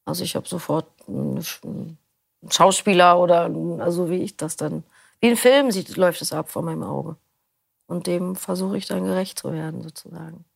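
A woman's voice: on the low side, normal speed, gravelly, husky, no echo, studio quality. A middle-aged woman answering interview questions in a thoughtful, rambling way, often restarting her sentences. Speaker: interview guest, audiobook narrator.

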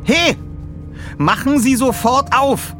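A man voicing an angry, bossy woman who shouts demands in an audiobook reading. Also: very high-pitched, slow, over music, voice pushed up. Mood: furious. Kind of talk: audiobook character acting.

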